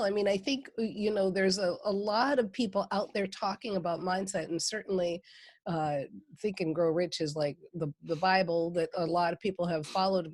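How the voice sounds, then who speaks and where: A woman's voice: medium pitch, a little mellow, no room sound. A woman from Minnesota with a Minnesota accent, a guest on a podcast interview, talking with enthusiasm and laughing often. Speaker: guest, mindset coach.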